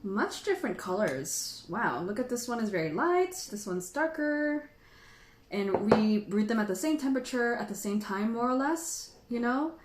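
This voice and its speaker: A woman's voice: a little high, expressive, moderate pace, reverberant, a little hiss or hum. A woman, a native Tagalog speaker, hosting a casual livestream and chatting with viewers in a playful, teasing, jokey way. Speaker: host of a tea tasting livestream.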